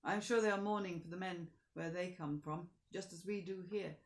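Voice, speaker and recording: A woman's voice: lowish voice, fairly quick, natural voice. Old village man, kindly, reasonable, earnest tone, storybook reading, woman performer, reverberant room. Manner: earnest, explaining.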